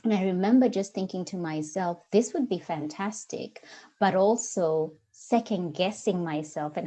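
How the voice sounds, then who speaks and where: A woman's voice: lowish voice, dark tone. A woman in her forties from Kenya, with a Kenyan accent, talking as a guest in a casual interview. She speaks reflectively and searches for her words, with frequent ums. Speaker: guest, diversity coach. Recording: dull lo-fi audio.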